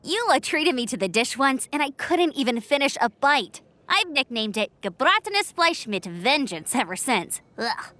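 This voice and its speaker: A bubbly young scout. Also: female voice, high voice, very animated, normal speed, few pauses, sharp tone, exaggerated voice. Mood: bright. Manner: bubbly, light. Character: cheerful young woman scout, bubbly and friendly. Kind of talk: video game voice acting.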